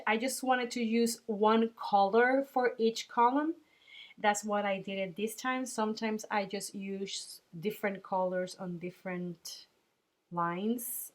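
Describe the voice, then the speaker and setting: A young woman from Puerto Rico with a Puerto Rican Spanish accent, narrating a casual voice-over as she decorates a planner, pausing often with ums and small laughs. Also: medium pitch. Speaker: planner sticker shop owner.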